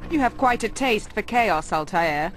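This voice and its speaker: A woman's voice: mid-range voice, expressive, measured pace, fairly bright tone. A woman playing a wry, skeptical, defiant young woman in a video game, sardonic and challenging. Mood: mocking, skeptical. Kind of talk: video game cutscene voice acting. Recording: music behind the voice.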